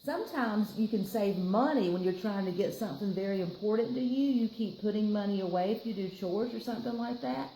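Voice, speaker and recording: A woman with a Southern US accent reading a picture book aloud to children, with playful, expressive, chatty asides. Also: mid-range voice, a little fast, few pauses, echoey room, a little hiss or hum, rough recording. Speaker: children's storytime reader.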